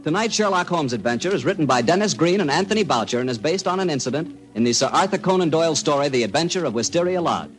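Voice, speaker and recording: A man's voice: on the high side, lively intonation, fast talker, hardly any pauses, over music, low-quality recording. Chummy American man, 1940s radio announcer. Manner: chummy, folksy, polished.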